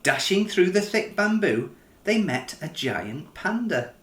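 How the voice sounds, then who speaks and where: A man reads a children's story aloud as its author. He takes his time and swings his pitch widely for expression. The sound is roomy, with noticeable echo. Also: big pitch swings, a little slow, a bit bright. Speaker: author reading own book.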